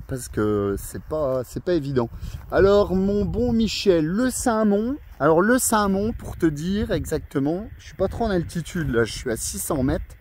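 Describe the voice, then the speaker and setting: A man's voice: fairly high pitch, warm tone, slight background noise. A man chatting casually and hesitantly as a radio operator filming himself outdoors, friendly and rambling. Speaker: amateur radio operator filming his contact. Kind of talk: casual radio chat and outdoor vlog narration. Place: outdoors on a windy mountain valley spot. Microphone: handheld phone or camera microphone.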